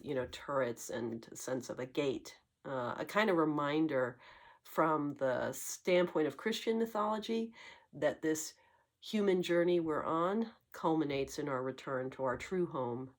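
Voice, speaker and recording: Low-voiced woman, congested with a cold. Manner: musing, searching for words, many fillers. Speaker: tarot channel host. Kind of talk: reflective spoken monologue.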